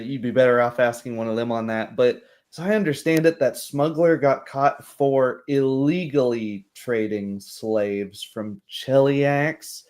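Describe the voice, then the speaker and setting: A man's voice: mid-range voice, darkish tone, lightly acted. A man voicing a hesitant, plain-spoken young commoner in a tabletop fantasy game.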